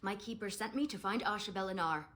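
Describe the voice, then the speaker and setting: A woman's voice: medium pitch, fast talker, fairly bright tone, lightly acted. An actress playing a proud, guarded young elven woman in a fantasy game, speaking earnestly and formally. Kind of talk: scripted fantasy game dialogue.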